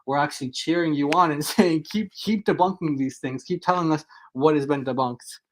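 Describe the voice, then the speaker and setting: A man's voice: fairly high pitch, moderate pace, poor audio. A man talking casually and off the cuff as a guest on a religious discussion show, often repeating and restarting his phrases.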